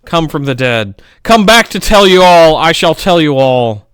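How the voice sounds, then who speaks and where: A man giving a theatrical, melodramatic poetry reading, swinging between loud outbursts and hushed murmurs. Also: fairly high pitch, very animated, measured pace, clear, resonant tone, dry recording, clean studio sound. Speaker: poetry reader.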